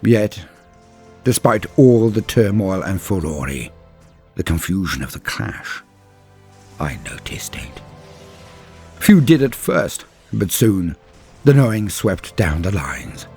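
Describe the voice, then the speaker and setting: A solemn, embittered superhuman warrior acted by a male narrator recounts battle in theatrical first person. The voice is low and husky, swinging widely between hushed gravity and proud defiance. Pauses fall heavily, as in a dramatic audio story. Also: sing-song, soft background music, heavily acted. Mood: haunted, grim. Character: grave, embittered male superhuman space-marine veteran recounting a massacre.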